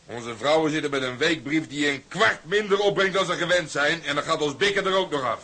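A man's voice: on the high side, fairly quick, sharp tone. An actor playing a weary, disillusioned older tugboat man in a radio drama, grumbling fatalistically. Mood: resigned, worried.

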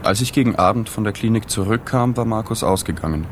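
Radio drama role: male actor as detached, observant journalist, low-pitched, matter-of-fact and understated, slight noise behind. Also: fast, lightly acted. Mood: detached, melancholy. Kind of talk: radio drama dialogue and first-person narration.

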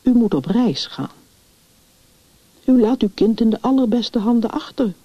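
A woman narrator voices a grave male family doctor in a storybook, firm and deliberate in his advice. The tone is mellow and unforced, on a slightly muffled, dry recording. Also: a little high, animated, slightly rough, no room sound, voice pushed up. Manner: deliberate, authoritative, explanatory.

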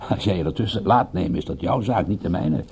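Husky, wry private detective. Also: male voice, low voice, normal speed, darkish tone, heavily acted. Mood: cool, teasing. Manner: sardonic, dry, insistent. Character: wry, needling, jealous male private detective. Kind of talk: radio drama acting.

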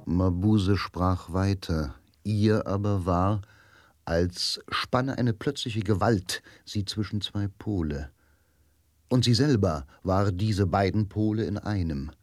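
A man's voice: low voice, slow pace, no room sound. A man narrating a radio drama in a dramatic, scripted style.

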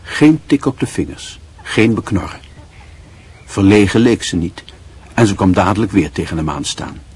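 An actor narrating a literary radio drama in a measured, wry and sombre manner. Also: male voice, on the low side, animated, a little mellow, slightly rough.

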